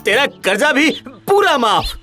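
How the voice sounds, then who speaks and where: Man acting a wheedling, animated village landlord. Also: extremely high pitch, a little slow, full character voice. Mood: pleading, bargaining. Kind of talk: Hindi animated moral story dubbing. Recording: loud background music.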